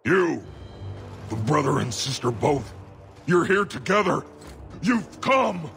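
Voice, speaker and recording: An actor playing a fanatical, obsessive dwarf cultist in a video game, speaking with halting zeal. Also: male voice, fairly high pitch, very animated, creaky, fairly bright tone, big-room sound, faint music behind, full character voice. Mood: frenzied zeal. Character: fanatical male dwarf cultist thug, raving and devoted. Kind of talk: video game character acting.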